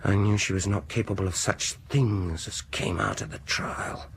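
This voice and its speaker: Lively-voiced, sinister polite dentist. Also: male voice, low-pitched, creaky, a little hiss or hum, heavily acted. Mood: cold, quiet menace. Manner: soft-spoken politeness turning sinister, deliberate and taunting. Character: menacing retiring male dentist bent on slow revenge. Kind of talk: radio horror drama acting.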